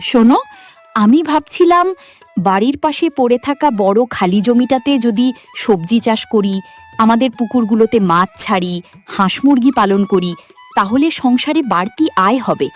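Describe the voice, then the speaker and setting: A woman performs a hardworking, patient young bride for a village cartoon. Her voice is high, earnest and gently pleading. Soft music runs behind. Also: expressive, unhurried. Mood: hopeful. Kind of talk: cartoon voice acting.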